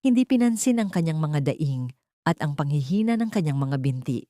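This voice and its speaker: A woman's voice: sits low, big pitch swings, moderate pace, warm tone, no room sound, clean studio sound. A woman reading a scripted dramatic story as its narrator.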